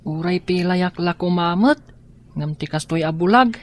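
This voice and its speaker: A woman playing a gentle, grateful young blind boy in a Filipino radio drama. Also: on the low side, moderate pace, lightly acted. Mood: hesitant.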